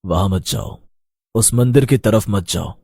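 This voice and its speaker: A man voicing a solemn villager who gives ominous warnings in an animated horror story. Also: fairly low pitch, a little slow, husky, no room sound, clean studio sound. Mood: grave warning.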